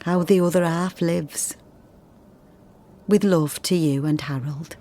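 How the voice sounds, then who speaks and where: A woman's voice: low voice, steady tone, slow, dark tone. An actress playing a long-suffering working-class wife and mother of the thirties and forties, confiding in letters with weary plainness. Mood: troubled, stoic. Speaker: actor in radio drama. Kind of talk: dramatised letter reading.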